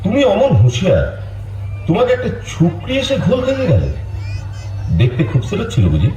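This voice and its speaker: An actor playing a boisterous bandit chief in an amateur stage dance drama, with a swooping, declamatory delivery. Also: male voice, sits high, wildly swooping pitch, a little fast, warm tone, distant mic. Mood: teasing. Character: boisterous bandit chief, adult male, blustering. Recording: echoey hall, faint crowd and music.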